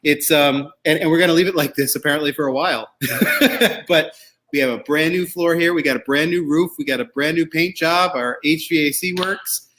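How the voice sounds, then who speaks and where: A man's voice: a little high, normal speed, a bit bright. A man hosting a show in a casual, chatty way, rambling and joking as he goes. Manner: rambling, jokey. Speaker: show host.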